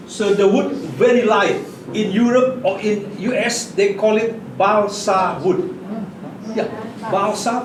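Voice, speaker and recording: A man with an Indonesian accent guiding visitors through a craft demonstration, speaking halting English in short, simple phrases. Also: high-pitched. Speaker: puppet workshop guide and demonstrator. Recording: echoey room, distant mic, clear background noise.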